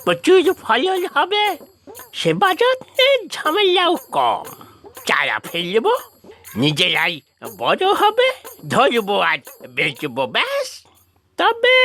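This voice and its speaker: Cartoon casting: woman playing a crotchety, bossy old man with a sharp, high voice and slow delivery. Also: wildly swooping pitch, exaggerated voice. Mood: scheming, grumbling. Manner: bossy, scolding, exclamatory. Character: stubborn, crotchety old village father, excitable and scheming. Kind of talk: animated cartoon dialogue. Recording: music behind the voice.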